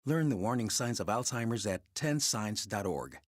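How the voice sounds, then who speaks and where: A man narrates a public service announcement in a fairly low voice. The delivery is scripted, and the recording is dry, with no room sound. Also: expressive, moderate pace, no room sound.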